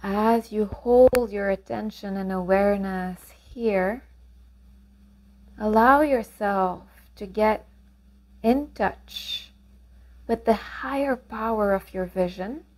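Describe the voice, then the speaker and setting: Slow-speaking woman guiding meditation. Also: medium pitch. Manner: calm, unhurried, gently instructive with long pauses. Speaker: meditation guide. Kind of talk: guided meditation narration.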